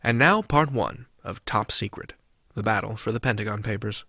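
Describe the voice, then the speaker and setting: A man hosting a podcast, giving a scripted introduction with steady, measured presenting. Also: fairly low pitch. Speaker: podcast host. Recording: voice over music.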